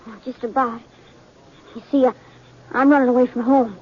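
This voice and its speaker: A woman's voice: a little high, steady tone, moderate pace, breathy edge. A woman playing a hesitant, plain-spoken orphaned runaway boy in a radio western. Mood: polite, hesitant. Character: orphaned young runaway boy, sullen yet curious. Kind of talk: 1950s radio western acting. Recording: dull vintage radio recording.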